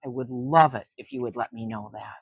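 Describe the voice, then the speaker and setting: An older woman hosting story time for children, reading a picture book aloud and chatting gently to young listeners in between. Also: rumbling low, big pitch swings, fairly quick, creaky, husky, no echo. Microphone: close microphone.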